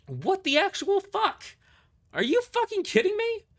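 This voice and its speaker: A man's voice: very high-pitched, huge pitch swings, a little slow, fairly bright tone, voice pushed up, full character voice. A man voicing a furious, foul-mouthed small woman squad leader in an indignant shriek for an audio drama. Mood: shrieking fury. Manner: indignant, swearing, emphatic.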